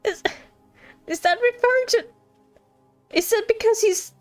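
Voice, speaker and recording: A woman with a high voice gives casual live game commentary. She is playful and reactive, with game music playing faintly behind her. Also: measured pace. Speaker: game streamer.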